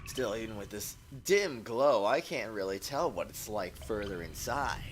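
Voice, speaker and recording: A man's voice: lowish voice, expressive, normal speed, slight background noise. A man streaming a game, reading narration aloud dramatically and dropping in casual, joking commentary. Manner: dramatic read-aloud mixed with joking asides. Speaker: streamer playing a visual novel game. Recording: loud music behind the voice. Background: loud game music.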